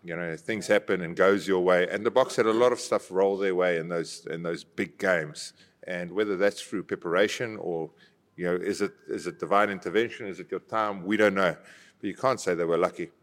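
A man with a low South African voice talks casually about sport. He sounds conversational and anecdotal, with a long room reverb around his words. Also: fairly even intonation, fairly quick. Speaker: former rugby player and podcast regular. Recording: roomy hall-like reverb.

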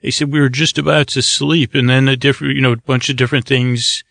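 A man hosting a sleep podcast in a rambling monologue, halting and drifting into tangents, with a creaky, gravelly voice. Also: medium pitch, level tone, a bit bright, no echo, clean studio sound. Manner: meandering, halting, trails off into tangents. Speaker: podcast host.

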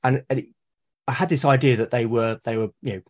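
Thoughtful British man, mid-pitched voice. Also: fairly even intonation, fast talker. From Britain. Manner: thoughtful, explains at length, trails off and rephrases. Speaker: podcast guest. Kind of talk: casual conversation about solo roleplaying.

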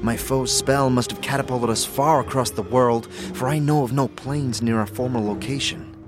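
A man acts as a proud warrior from another world, stiff and easily offended. His speech is haughty, formal and archaic, mid-pitched, with music behind it in an audio drama mix. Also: steady tone, normal speed, close to an everyday voice. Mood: formal, conceding. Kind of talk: fantasy audio drama acting.

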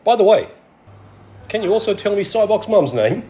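A man plays a smug, pompous alien father in a radio play. His pitch is on the high side and swings very widely. The delivery is dry and haughty with a roguish edge. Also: sing-song, moderate pace, warm tone. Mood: flirtatious, cocky. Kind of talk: scripted amateur radio play.

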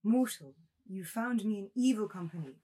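A woman acting an ethereal, primly reproachful young nymph in a dramatised novel reading, with a husky voice. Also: medium pitch, animated, normal speed, dark tone. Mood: sad reproach.

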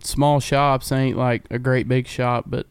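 A man's voice: mid-range voice, a little slow, clear, resonant tone, dry recording, clean studio sound. A man, a race car driver telling stories casually on a podcast. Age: 20s. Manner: relaxed storytelling with a laugh.

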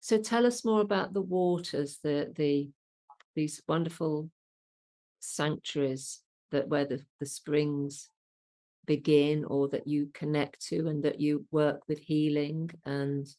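Woman host from the Midlands, low gravelly tone, warm encouraging interviewer. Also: steady tone, takes it slowly. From English Midlands. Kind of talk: conversational interview.

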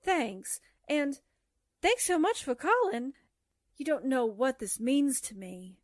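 A woman's voice: high-pitched, animated, slow pace, no echo, studio quality. An actress playing a brash, cheeky, scatterbrained newspaperwoman with perky delivery in a 1940s-style radio drama. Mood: gushing. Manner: perky, wisecracking.

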